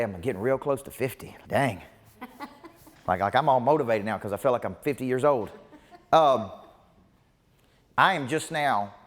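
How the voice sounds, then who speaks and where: Emphatic man coaching in a reverberant hall. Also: mid-range voice. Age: 40s. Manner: emphatic, punchy repetition. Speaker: business coach. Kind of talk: motivational seminar talk. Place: large seminar room before a live audience.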